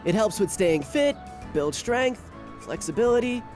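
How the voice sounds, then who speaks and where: A man's voice: high-pitched, expressive, measured pace, a little mellow. A young man voicing a laid-back, cocky student gymnast in a visual novel game, casual and teasing. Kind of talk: voiced game character dialogue. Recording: game audio with music behind.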